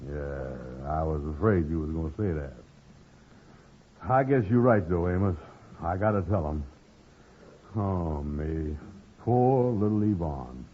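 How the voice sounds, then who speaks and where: An actor playing a slow-witted, lovelorn man with a hesitant, muddled way of talking in an old radio comedy. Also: sits low, animated. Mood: troubled, mournful. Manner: dim, hesitant, malapropisms. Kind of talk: 1950s radio comedy acting. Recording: noisy, phone-like old radio recording.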